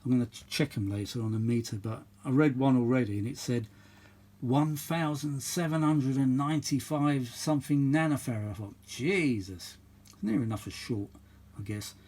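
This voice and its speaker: A man's voice: medium pitch, normal speed, warm tone. A middle-aged or older man giving casual, chatty repair commentary, rambling and thinking aloud as he works. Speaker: vintage audio repair vlogger.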